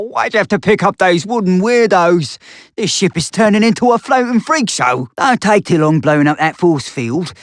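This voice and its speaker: Paranoid hermit creature for a video game: high, cartoonish, swinging pitch, folksy and cranky grumbling. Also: male voice, dry recording, clean studio sound. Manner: folksy, grumbling, excitable rustic speech. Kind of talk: video game character voice lines.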